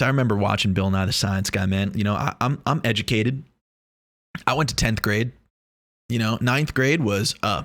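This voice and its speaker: A young man rambles through a casual vlog. He jokes, brags and swears freely, and his voice sits a little low. It is recorded close and dry, with no room sound. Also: fairly bright tone, no echo. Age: 20s. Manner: joking, boastful, swears a lot. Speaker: vlogger. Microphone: lav or shotgun mic.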